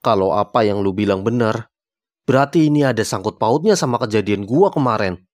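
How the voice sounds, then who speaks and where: A man's voice: fairly low pitch, animated, a little fast, a little mellow, no room sound, clean studio sound, natural voice. A man with a Jakarta accent playing a resolute young amateur investigator in a cartoon ghost story. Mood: determined. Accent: Jakarta Indonesian. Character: resolute young male friend investigating a haunting. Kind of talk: animated horror-comedy voice acting.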